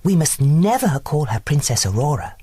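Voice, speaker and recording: A woman's voice: deep voice, sing-song, moderate pace, dark tone, slight background noise. A woman playing a kindly good fairy. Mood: gentle. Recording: music playing behind.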